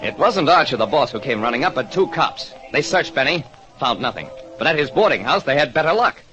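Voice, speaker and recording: An actor playing a terse American private detective, narrating an old radio crime drama. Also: male voice, mid-range voice, very animated, a little fast, lightly acted. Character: wry, quick-thinking American private detective. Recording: dull vintage radio recording, music behind.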